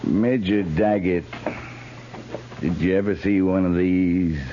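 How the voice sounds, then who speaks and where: An actor playing a grave, restrained cavalry captain in 1950s American radio drama, speaking in a terse, formal military way. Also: male voice, low voice, fairly even intonation, slow, mellow. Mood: grim, formal. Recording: muffled, hissy 1950s radio recording.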